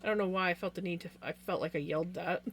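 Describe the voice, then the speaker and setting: A woman with a naturally loud voice hosting a livestream, talking casually in a chatty, self-deprecating way. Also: lowish voice, steady tone, fast talker, a little hiss or hum. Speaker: live stream host.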